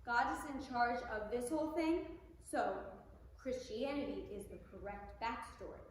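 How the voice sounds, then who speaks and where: Reluctant young male apprentice reaper played by a woman: high animated voice, flippant, full of questions, amateur drama, echoey room. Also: a little slow. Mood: curious. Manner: questioning, casual, incredulous. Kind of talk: amateur theatre read performance. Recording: echoey room with faint noise.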